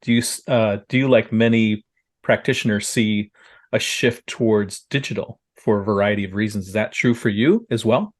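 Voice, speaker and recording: A man's voice: on the low side, moderate pace. A man hosting a podcast interview, introducing his guest and asking curious, friendly questions. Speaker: podcast host.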